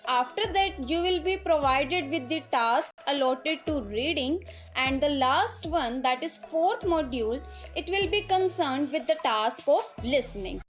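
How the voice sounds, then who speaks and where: A woman giving a scripted exam lesson in an instructional, step-by-step manner. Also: sits high.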